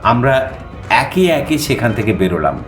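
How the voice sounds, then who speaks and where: A man narrating a suspense story in the first person with expressive, dramatized delivery. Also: medium pitch, measured pace, echoey room, soft background music.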